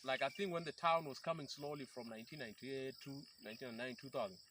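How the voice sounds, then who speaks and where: Interviewgast, Mann in den Dreißigern aus dem Südsudan, erklärt eindringlich und setzt oft neu an; leise Insekten im Hintergrund. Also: male voice, mid-range voice, fairly even intonation, racing, a bit bright, slight background noise. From South Sudan. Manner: earnest, explanatory, with frequent restarts. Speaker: peace activist guest. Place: outdoors by a river in rural bushland.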